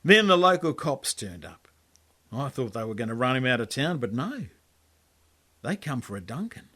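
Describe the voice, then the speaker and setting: A man's voice: mid-range voice, sing-song, moderate pace, sharp tone, slightly rough. An Australian man reading his own story as a colloquial, laconic first-person yarn. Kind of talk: storytelling monologue, first-person character narration.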